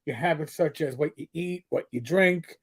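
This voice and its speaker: A man's voice: a little high, moderate pace, husky. A middle-aged man with a strong New York accent chats casually on a livestream. He rambles, stops and starts, and fills the gaps with "um" and "uh" as he thinks aloud. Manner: rambling, stop-start with many fillers and restarts. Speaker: podcast co-host.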